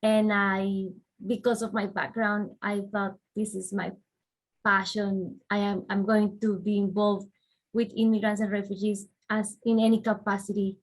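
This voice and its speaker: A woman's voice: medium pitch, level tone, unhurried, rough recording. Colombian woman answering interview questions. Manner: thoughtful, explains at length with soft fillers. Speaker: guest, director of refugee and immigrant services.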